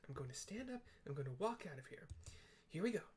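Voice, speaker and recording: A man's voice: a little high, big pitch swings, quick pace, a little hiss or hum. A man voicing a small, timid male engineer who stammers and frets in fussy, polite tones. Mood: panicked.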